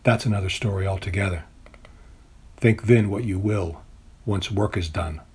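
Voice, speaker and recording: A man reads his own poem in a measured, deliberate, literary way, as a poet at a reading. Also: sits low, a little mellow, slightly rough. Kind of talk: poetry reading of own work.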